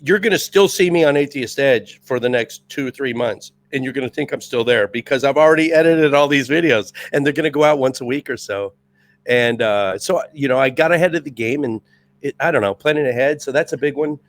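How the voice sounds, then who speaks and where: A middle-aged man with a worn, raspy voice talks in a casual podcast chat. He comes across intense and restless, his words tumbling out with plenty of restarts. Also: mid-range voice, fairly quick. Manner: intense, amped-up, restless talker. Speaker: podcast guest, retired Marine first sergeant.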